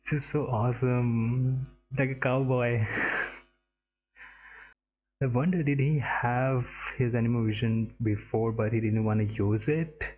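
A man's voice: mid-range voice, steady tone, measured pace, darkish tone, highs cut off, slight background noise. A young man from India giving casual reaction commentary as a streamer, on a headset. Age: young adult. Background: game trailer music.

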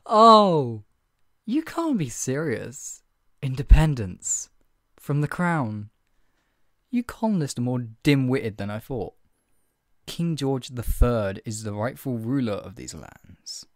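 A man's voice: mid-range voice, very animated, slow, a bit bright, no echo, studio quality, full character voice. A man playing a stern, menacing redcoat soldier in a scripted roleplay interrogation, with a clipped, authoritative, condescendingly polite manner. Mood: scornful. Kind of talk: ASMR roleplay, one-sided scripted dialogue.

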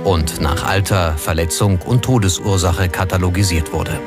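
A man reads scripted documentary narration in a very low voice. His delivery is measured and serious. Music plays clearly behind him. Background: background music.

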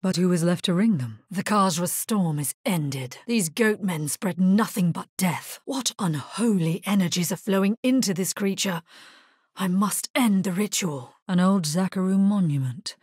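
A husky, solemn necromancer heroine. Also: female voice, fairly low pitch, a little slow, a little mellow, no room sound, clean studio sound. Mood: grim, wary. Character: grave, resolute woman necromancer, dark fantasy game hero. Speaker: video game voice actor. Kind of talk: scripted video game voice lines.

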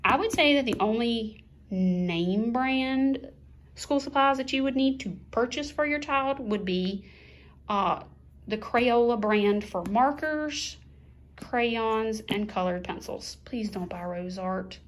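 Slow, chatty mom showing a haul. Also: female voice, fairly high pitch, a little hiss or hum. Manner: unhurried, chatty, listing items one by one. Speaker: vlogger, mother of two. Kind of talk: casual haul chat. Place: at home, at a kitchen table.

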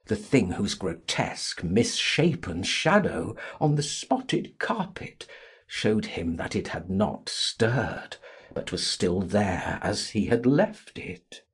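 A man narrating a classic novel as a dramatic audiobook, theatrical and deliberate in his delivery. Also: lowish voice, sing-song, breathy edge.

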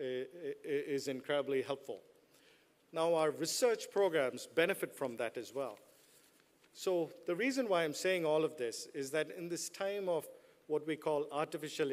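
A middle-aged man speaks into podium microphones, addressing graduates with a slightly raised voice. He drops playful jokes at the crowd between points. The sound trails off in the long reverb of a large hall. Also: fairly high pitch. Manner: joking, playful asides to the audience. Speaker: business school dean giving a commencement speech. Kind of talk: commencement address.

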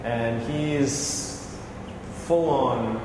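A man's voice: medium pitch, very slow. A man in his forties curating a small gallery, explaining the photos in a loose, enthusiastic way with many fillers, on a lapel mic. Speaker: gallery curator giving a tour. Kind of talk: casual walkthrough explanation. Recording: echoey room with background noise.

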